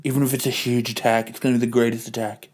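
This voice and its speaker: A boastful, nagging wife in a comic-book parody, acted out by a man. The voice drops low and leans hard on drawn-out superlatives, like a bombastic politician on the stump. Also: level tone, darkish tone, close to an everyday voice. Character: boastful nagging wife, spoken as a Donald Trump-style parody. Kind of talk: comic dramatic reading.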